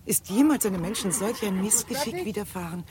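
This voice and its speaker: A woman's voice: medium pitch, animated, a little fast, crowd noise, lightly acted. A woman playing an imperious, agitated noblewoman in a German radio drama, speaking theatrically and formally with urgency. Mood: distressed.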